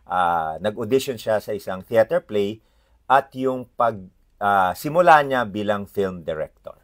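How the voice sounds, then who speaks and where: A man with a Filipino accent presents a picture book in an informal read-aloud style. His voice sits on the low side. He moves through his commentary haltingly, often pausing to find the next word. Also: animated.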